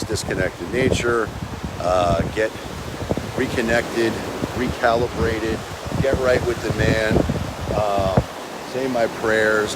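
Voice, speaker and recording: A man in his forties giving a casual motivational talk to a phone camera on an outdoor beach, earnest and rambling with fillers. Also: fairly low pitch. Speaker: real estate agent vlogger. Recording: heavy wind and surf noise. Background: waves and wind.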